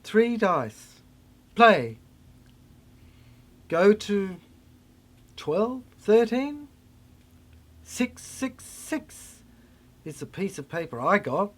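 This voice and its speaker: A performer delivering a theatrical spoken-word monologue in fragmented, halting phrases with dramatic swings. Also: medium pitch, sing-song.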